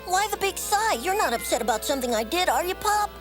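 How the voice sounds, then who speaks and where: A woman's voice: very high-pitched, sing-song, fast. A woman voicing an earnest, innocent little hedgehog boy in a cartoon, eager and childlike. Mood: concerned. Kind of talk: animated cartoon voice acting. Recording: music behind the voice.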